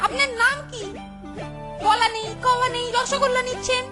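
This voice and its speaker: A woman's voice: extremely high pitch, steady tone, moderate pace. Piping, naive little boy. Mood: alarmed, protesting. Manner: protesting, exclaiming. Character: naive, obedient young shopkeeper's son, easily alarmed. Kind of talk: cartoon voice acting. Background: loud jingly children's music.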